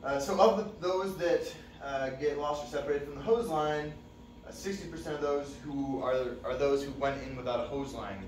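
A young man teaches a room of firefighters, talking loosely and conversationally, often pausing on "uh." He stands well back from the camera, so his voice carries the reverberation of the classroom. A faint hum of room noise sits underneath. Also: mid-range voice. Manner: relaxed, conversational, many "uh" fillers. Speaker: fire service instructor. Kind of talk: classroom training presentation. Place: dim training room with projector screen. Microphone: far camera. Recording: echoey room, distant mic.

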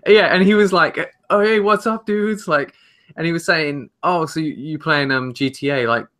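A man's voice: a little high, normal speed, fairly bright tone. A British man in his twenties co-hosting a casual gaming chat, talking with enthusiasm and emphasis. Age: 20s. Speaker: podcast co-host. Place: home room, indoors. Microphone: headset on, video call.

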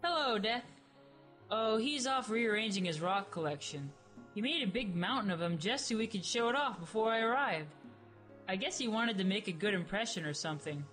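A curious, sardonic young heroine played by a woman chats casually with very lively pitch. She sounds flippant, in a radio play with faint music underneath. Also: moderate pace, lightly acted. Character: flippant, curious young female creature, dead soul.

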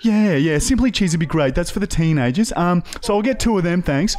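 A man's voice: high-pitched, lively intonation, a little fast, darkish tone. A man voicing Margaret, a fussy, chirpy and polite suburban Australian woman in a comedy prank call. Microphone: close boom-arm podcast microphone.

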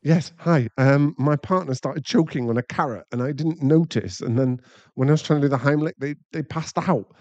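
British male, acting, caring young boyfriend for ASMR roleplay; soft-edged mellow tone, close dry sound. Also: on the high side, moderate pace, no room sound, highs cut off, natural voice. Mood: anxious, shaken. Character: caring young boyfriend, chatty and easily flustered.

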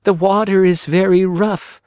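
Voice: medium pitch, fairly even intonation, a little slow, a little mellow, smooth, dry recording, studio quality, voice pushed up, lightly acted. A narrator voicing a worried little old village woman in a storybook. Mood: sorrowful, worried.

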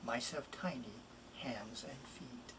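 A middle-aged man, a children's author, introducing and expressively reading a picture book in a deliberate, emphatic way. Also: mid-range voice. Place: small wood-panelled home office, indoors. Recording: distant mic, room noise.

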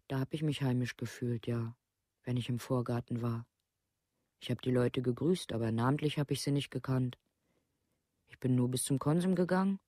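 A woman's voice: rumbling low, warm tone, no echo, studio quality. An actress playing an embittered, plain-spoken village mother in a documentary radio play, blunt and repetitive. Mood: resigned, guarded.

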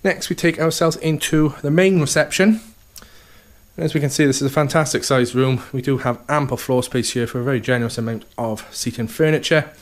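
A man's voice: mid-range voice, brisk. A man, an estate agent, giving an upbeat walkthrough tour of a house, full of sales patter and repeated "okay" and "fantastic".

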